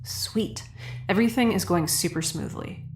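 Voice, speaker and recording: A woman's voice: medium pitch, moderate pace, crowd noise, natural voice. A woman playing a quirky young scientist in a science fiction audio drama, informal and wry with a slightly hesitant delivery. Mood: wry, nervous.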